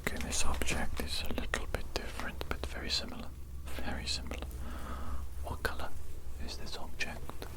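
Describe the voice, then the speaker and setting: A creator whispering an ASMR roleplay close to a binaural mic, speaking slowly and playfully and repeating phrases. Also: rough recording. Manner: slow, repetitive, playful whispering.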